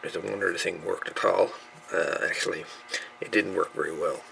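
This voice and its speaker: A man giving a casual, unscripted repair update in a folksy, halting manner. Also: low voice, fairly even intonation, creaky, sharp tone, a little hiss or hum, poor audio. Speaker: hobbyist radio repairer presenting own channel.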